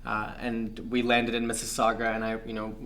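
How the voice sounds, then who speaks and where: A man's voice: fairly low pitch, monotone, fairly bright tone. Thoughtful young man from Pakistan. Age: young adult. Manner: thoughtful, measured, many fillers. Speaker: guest. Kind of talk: reflective interview talk.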